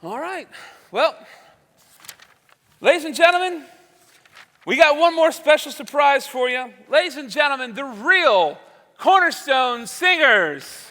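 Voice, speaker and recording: A man acting as an earnest, gracious host of an old-time radio variety show on stage, speaking in a folksy way with halting pauses. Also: very high-pitched, expressive, sharp tone, a faint crowd, heavily acted. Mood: upbeat, welcoming. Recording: reverberant hall stage sound.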